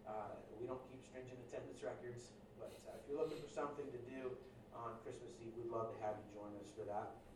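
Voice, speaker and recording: A male pastor giving casual church announcements and introducing a speaker, joking and filling pauses with "uh". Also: medium pitch, fairly even intonation. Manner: casual, joking, loose with fillers. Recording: echoey room, distant mic, audible noise.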